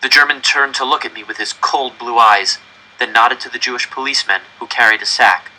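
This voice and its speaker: A man's voice: mid-range voice, fairly quick, sharp tone, poor audio. A man reading a first-person story aloud with dramatic, tense delivery. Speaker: audiobook reader.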